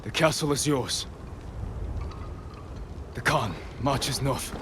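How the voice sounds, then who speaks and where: A man's voice: medium pitch, takes it slowly, lightly acted. A man playing a resolute young samurai outcast in a video game cutscene, speaking in solemn, terse, emphatic phrases. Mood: defiant. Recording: clear background ambience noise.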